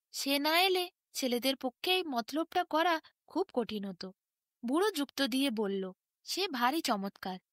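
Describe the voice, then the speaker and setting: A woman narrating a scripted bedtime story in an expressive, unhurried storytelling manner. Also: high-pitched, dry recording, clean studio sound.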